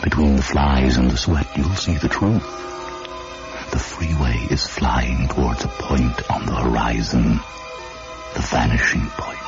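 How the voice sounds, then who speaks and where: An actor playing a brooding narrator of the open road in a radio drama, speaking in terse, deliberate, poetic phrases. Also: male voice, extremely low pitch, fairly even intonation. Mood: brooding, unhurried. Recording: muffled old radio broadcast. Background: prominent music.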